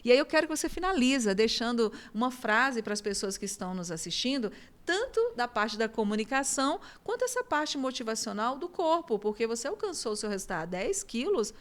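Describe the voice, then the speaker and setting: A woman's voice: fairly high pitch, sing-song, a little fast. A woman from Minas Gerais hosting a studio talk show, effusive and talkative, laughing often as she chats with her guest. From Minas Gerais, Brazil. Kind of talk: casual studio interview.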